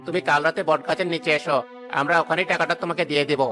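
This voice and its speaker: A man's voice: on the high side, steady tone, rapid-fire, music in the background, natural voice. A man playing a greedy, scheming village trader in a cartoon story. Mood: wheedling.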